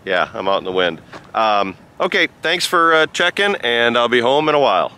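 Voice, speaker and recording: Bright-voiced man rambling outdoors. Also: medium pitch, big pitch swings, clean tone. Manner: rambling, halting. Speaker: product reviewer and amateur radio operator. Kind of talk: casual vlog review. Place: outdoors by a rural road.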